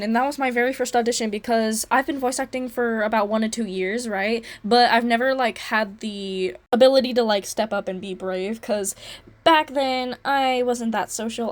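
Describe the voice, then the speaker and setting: A woman voice actor chatting casually in a vlog, talking with plenty of fillers and a playful tone. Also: on the high side. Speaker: voice actor and video creator.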